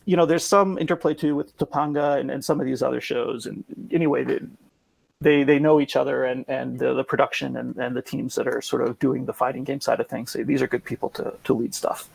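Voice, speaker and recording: A man in casual podcast conversation, explaining things in a rambling, hedging way with a gravelly, husky voice. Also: mid-range voice, steady tone, brisk, no room sound, rough recording. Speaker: podcast guest explaining esports.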